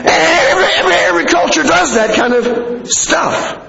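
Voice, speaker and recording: A middle-aged American man preaching a sermon in an animated, conversational way. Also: very high voice, normal speed, gravelly, crowd noise. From United States. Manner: animated, conversational, acting out asides to listeners. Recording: echoey hall with long reverb, muffled.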